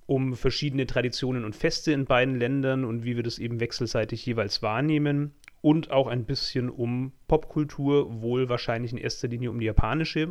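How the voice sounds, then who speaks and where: Franconian male podcast co-host, medium pitch, wordy with lots of ums, self-deprecating. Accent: Franconian German. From Franconia, Germany. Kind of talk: casual podcast intro.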